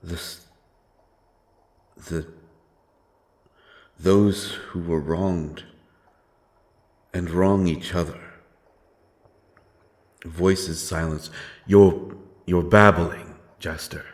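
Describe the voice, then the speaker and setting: A grim, doomed dark fantasy avenger, acted by a man with a very low voice. He speaks slowly and solemnly, brooding over his words and leaving heavy pauses, as in a dramatic audio roleplay. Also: fairly even intonation. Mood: uneasy, brooding. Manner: brooding, solemn, halting delivery with weighty pauses.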